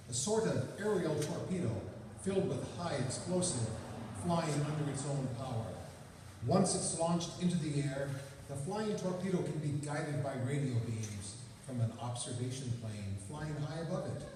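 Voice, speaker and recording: A man playing a calm, worldly gentleman detective in an old-time radio drama, measured and explanatory. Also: on the high side, slight background noise. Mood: calm, knowing. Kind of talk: 1940s radio drama acting. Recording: echoey hall from far off.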